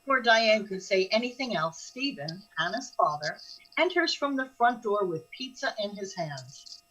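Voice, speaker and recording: A woman's voice: medium pitch, very animated, moderate pace, a bit bright, slightly rough, rough recording. A woman as a composed storyteller, reading scripted narration of the action in a radio drama.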